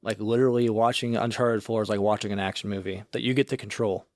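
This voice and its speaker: A man's voice: on the low side, fairly even intonation, quick pace, no echo, studio quality. Slangy young man podcast co-host. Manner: enthusiastic, slangy, heavy use of "like". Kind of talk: casual chat.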